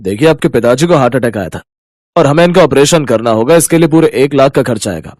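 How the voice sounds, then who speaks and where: A man voicing a businesslike, insistent hospital doctor in an animated moral story. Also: medium pitch, fast, no echo, clean studio sound, lightly acted. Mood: brisk, firm. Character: brisk, matter-of-fact hospital doctor.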